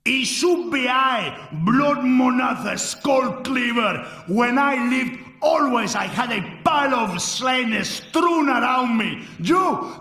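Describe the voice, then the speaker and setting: High gravelly barbarian ghost, slow and shouty, echoey and far off. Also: male voice, exaggerated voice. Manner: booming, shouting boasts. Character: brutish barbarian warrior ghost, boastful and bloodthirsty. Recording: roomy echo from far off.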